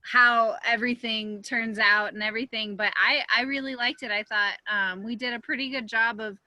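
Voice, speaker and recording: A woman's voice: on the high side, fairly even intonation, moderate pace, sharp tone, dry recording. A young woman, an actress chatting casually as a panelist in an online seminar. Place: indoors, online video seminar.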